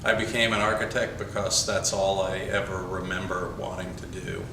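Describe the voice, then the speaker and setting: A male architect talking in a documentary interview, measured, listing ideas one by one, recorded in an echoey room from a distance with background noise. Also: low voice, flat delivery, creaky. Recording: echoey room, mic set far off, noisy.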